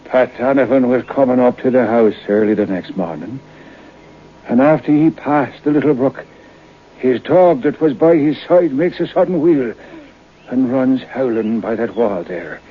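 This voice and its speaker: An actor playing an old man who tells a grim ghostly legend slowly and suspensefully in a radio horror drama, on an old radio recording. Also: medium pitch, phone-quality sound, a little hiss or hum, lightly acted. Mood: grave, suspenseful. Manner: slow, suspenseful storytelling.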